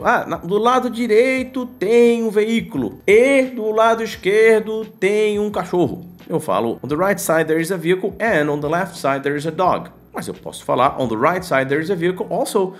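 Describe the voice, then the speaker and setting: A Brazilian man with a Brazilian Portuguese accent teaching English to pilots in a casual, explanatory way. He asks and answers his own questions and uses slang directly. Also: high voice.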